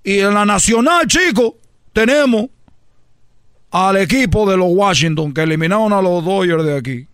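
A man guesting on a comedy radio show, talking fast in joking banter, stumbling over repeated words and calling everyone "chico". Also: sits high, lively intonation, fairly bright tone, clear, resonant tone, no echo, studio quality. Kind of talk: rapid comic banter.

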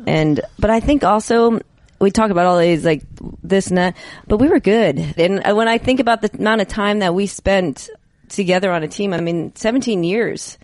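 A woman's voice: medium pitch, moderate pace, a little mellow, dry recording. A woman in her fifties, a guest reminiscing casually in conversation with friends. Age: 50s. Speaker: podcast guest, former team athlete.

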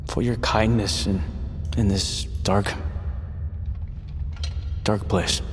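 An actor playing a brooding, wounded young outcast in a fantasy audio drama, halting and intense. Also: male voice, lowish voice, fairly even intonation, a little hiss or hum. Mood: melancholy. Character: brooding, wounded young outcast of mountain folk, finding resolve. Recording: loud music behind the voice. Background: dramatic music.

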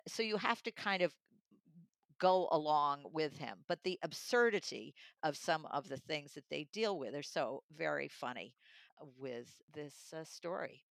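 A woman's voice: deep voice, lively intonation, measured pace, vocal fry, a bit bright, no room sound. A middle-aged or older woman giving a conversational book review. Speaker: magazine publisher and audiobook reviewer.

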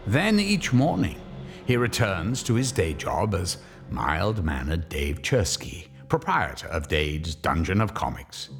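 Male horror narrator: low-voiced, slow, grave and theatrical, for scripted radio drama. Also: big pitch swings, faint music behind, exaggerated voice. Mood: ominous storytelling. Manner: measured, theatrical.

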